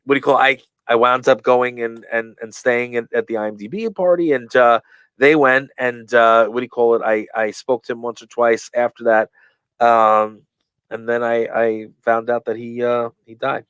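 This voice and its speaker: A man's voice: mid-range voice, steady tone, normal speed, fairly bright tone, clear, resonant tone. A man tells stories on a podcast, wandering off track with fillers and restarts. His voice sits in a middle range, and he acts out quoted lines with plenty of animation. The sound is dry and close, with no room echo. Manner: rambling, full of fillers and restarts. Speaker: guest, professional wrestler. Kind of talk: casual storytelling in a podcast interview.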